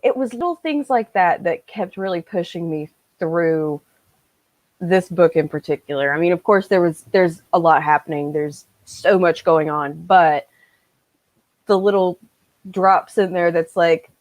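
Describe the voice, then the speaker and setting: A woman around her thirties chatting casually on a video call, acting out her reactions and quotes in an animated way. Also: fairly low pitch, measured pace, no room sound. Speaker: co-host of a book discussion. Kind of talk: casual chat. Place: small room at home, on a video call.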